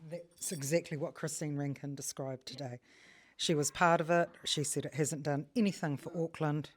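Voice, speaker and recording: Kiwi woman with a low voice, explaining things steadily at a community meeting. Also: fairly even intonation, normal speed.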